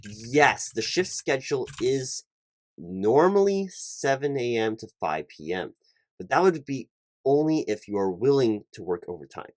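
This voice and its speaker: A man performing a one-sided job interview roleplay for ASMR as a hiring manager, speaking in a polite, officious, somewhat halting corporate patter. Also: medium pitch, very animated, no echo.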